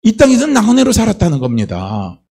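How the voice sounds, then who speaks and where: Husky-voiced Korean pastor preaching. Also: male voice, sits high, wildly swooping pitch, fairly quick, warm tone. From Chungcheong, South Korea. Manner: preaching with rhetorical questions. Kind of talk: sermon.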